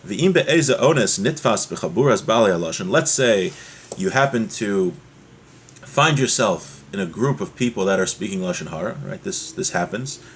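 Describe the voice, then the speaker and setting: A man giving a religious lesson as a teacher, explaining a text point by point and repeating key ideas, in a husky voice. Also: lowish voice, expressive, moderate pace, slight background noise. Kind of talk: Torah lesson, reading Hebrew text and explaining it.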